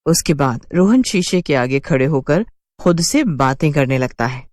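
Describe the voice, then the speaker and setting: A woman's voice: low-pitched, expressive, a little slow, darkish tone, dry recording, clean studio sound. A woman giving scripted, dramatic narration for a horror cartoon. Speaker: story narrator.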